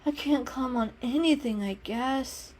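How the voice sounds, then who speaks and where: A woman's voice: high voice, unhurried, darkish tone, voice pushed up. A woman voicing a small, innocent and curious young male kitten in a storybook reading, earnest in manner. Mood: glum. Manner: earnest, questioning, easily discouraged. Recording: music under the voice.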